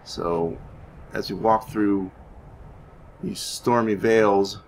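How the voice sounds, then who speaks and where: A man's voice: fairly low pitch, steady tone, takes it slowly, gravelly, a little hiss or hum. A man giving casual, rambling game commentary, thinking aloud hesitantly as he plays. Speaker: gaming YouTuber narrating his playthrough.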